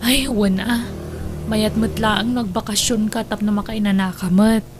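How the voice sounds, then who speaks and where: A woman's voice: mid-range voice, fairly even intonation, unhurried, soft background music, natural voice. A woman playing a discontented, worried young wife in an audio drama, with an Ilocano Filipino accent. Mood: downcast.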